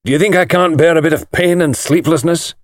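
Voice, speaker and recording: A man's voice: fairly high pitch, a little fast, no room sound, voice pushed up, lightly acted. Man playing a curt, bitter male patient. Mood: irritable. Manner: curt, gruff, irritable. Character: bitter, rebellious injured male hospital patient, snappish. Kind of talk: audiobook character voice.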